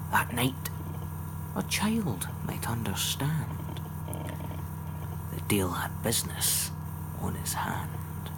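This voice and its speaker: A man with a Scottish accent giving a theatrical, expressive recitation of narrative verse in Scots. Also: fairly low pitch, heavy background noise. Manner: theatrical, expressive storytelling delivery. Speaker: poetry reciter.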